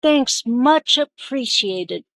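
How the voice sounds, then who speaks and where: A woman's voice: high voice, animated, drawn-out words, fairly bright tone, dry recording. A woman speaking as a radio communicator in a scripted training exchange.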